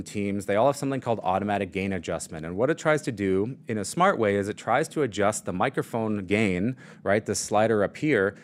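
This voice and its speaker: Man with a low, deep voice, casual tech demo host talking through settings at his desk, lots of "like" and "you know". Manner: casual, explanatory, many fillers. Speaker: product demo presenter. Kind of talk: live product demo and Q&A. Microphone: studio mic on shock mount and arm.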